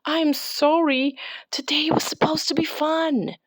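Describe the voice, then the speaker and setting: A woman voicing an excitable, playful young girl in a children's storybook, exclaiming and coaxing as if talking to her pet. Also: sits high, slow pace, no echo, voice pushed up. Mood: cheerful, coaxing. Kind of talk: children's storybook read-aloud character voice.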